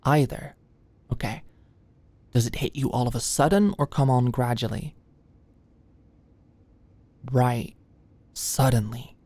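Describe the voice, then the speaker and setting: Woman acting as a nervous sweet med student girl, soft asmr roleplay, awkward and gentle, super dry close mic. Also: very deep voice, dry recording, heavily acted. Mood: nervous, professional. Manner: gentle, halting, clinical instructions slipping into shy fluster. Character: anxious, flustered young woman medical student, sweet and awkward. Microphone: close microphone, dry sound.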